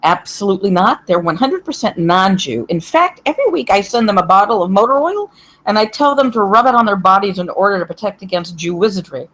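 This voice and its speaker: A woman's voice: medium pitch, expressive, brisk, fairly bright tone. Woman playing a cranky, bigoted old grandmother. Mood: cranky, indignant. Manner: blunt, opinionated, grumbling. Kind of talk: scripted table read of a comedy screenplay.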